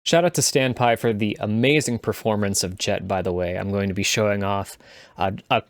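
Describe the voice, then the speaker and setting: A man presenting a casual tutorial as a game sound designer, explaining things in a measured way. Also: lowish voice, fairly even intonation, dry recording.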